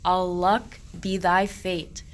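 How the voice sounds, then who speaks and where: Woman acting slow, grumbling henpecked male shepherd. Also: fairly low pitch, level tone. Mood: scolding. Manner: declamatory, deliberate verse delivery. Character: grumbling henpecked old-world male shepherd, weary of cold and marriage. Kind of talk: amateur radio play verse acting.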